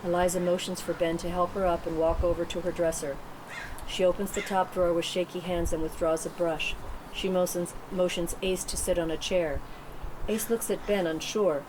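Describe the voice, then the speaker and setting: Woman reading out screenplay narration, lowish voice, pretty noisy recording. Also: fairly even intonation, normal speed. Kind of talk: scripted narration at a table read.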